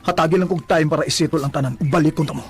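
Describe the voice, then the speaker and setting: A man's voice: fairly high pitch, steady tone, rapid-fire, loud music behind the voice, natural voice. An actor with a Cebuano Filipino accent playing an anguished, insistent man who demands the truth from his former girlfriend in a radio drama. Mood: resolved, longing. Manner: insistent, emotional. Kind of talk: Bisaya radio drama acting, dialogue and first-person narration.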